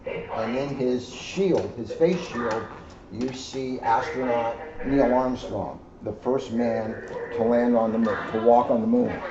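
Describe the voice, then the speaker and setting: A middle-aged American man giving an informal educational talk in a deliberate, emphatic way, phrase by phrase. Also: mid-range voice, mellow. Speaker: police officer reading to children. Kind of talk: informal educational talk and picture-book reading. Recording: muffled audio with clear background noise.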